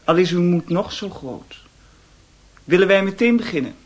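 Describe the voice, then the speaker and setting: An actress in a radio drama playing a poised, mysterious European hostess, commanding and teasing. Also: female voice, lowish voice, lively intonation, normal speed, lightly acted. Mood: cool, inviting.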